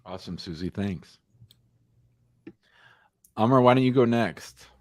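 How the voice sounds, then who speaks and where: A man's voice: fairly low pitch, measured pace, no echo, studio quality. A man, an immigration lawyer, hosting a casual online show over a video call and joking as he passes turns to his team. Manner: relaxed, joking, hands turns to colleagues.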